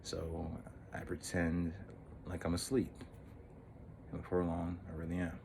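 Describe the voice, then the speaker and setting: A man playing an earnest, lovestruck thirteen-year-old boy in an intimate, halting, wistful dramatic monologue. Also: deep voice, monotone, gravelly, noisy background. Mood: awkward, hesitant.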